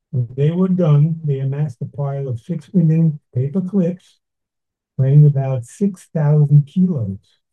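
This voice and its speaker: An older man from Brooklyn, giving a slow, deliberate, reflective talk with pauses. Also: a little high, husky. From Brooklyn, New York. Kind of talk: measured reflective address. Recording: tinny phone-like sound.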